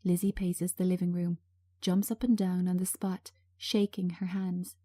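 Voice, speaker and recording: A woman's voice: lowish voice, flat delivery, moderate pace, warm tone. A woman narrating a drama script, reading out stage directions.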